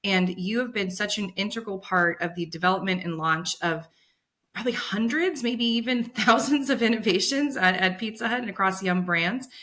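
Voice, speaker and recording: A woman's voice: medium pitch, normal speed, a bit bright. A woman hosting an interview podcast, friendly and encouraging as she asks questions. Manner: friendly, encouraging, laughs easily. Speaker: podcast host. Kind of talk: conversational interview.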